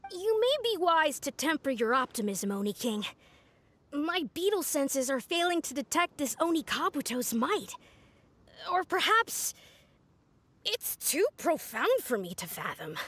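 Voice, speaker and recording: Very high-voiced, solemn little adviser. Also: female voice, very animated, a bit bright, heavily acted. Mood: pondering. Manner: stiffly formal, measured phrasing. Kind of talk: video game voice acting.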